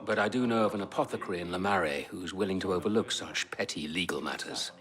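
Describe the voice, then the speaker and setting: An actor playing a measured, knowing man passing on discreet advice in a video game. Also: low-pitched, fairly bright tone. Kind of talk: video game dramatic acting.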